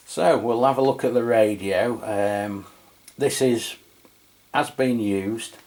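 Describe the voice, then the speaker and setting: A middle-aged man with an English accent presents a casual review. His voice sits fairly low and he speaks very slowly. The delivery is conversational and a little hesitant, as he feels his way through each point. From England. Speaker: radio review channel host. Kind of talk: casual product review commentary.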